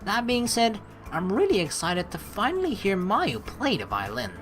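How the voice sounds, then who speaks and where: A woman doing chatty, excitable game commentary, mixing story text read aloud with playful asides. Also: mid-range voice, moderate pace, faint music behind. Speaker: let's play host.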